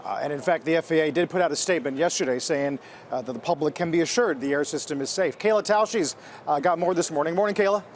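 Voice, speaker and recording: A male American news anchor with a fairly high voice delivers live TV news at a very quick pace. There is faint ambient noise behind him.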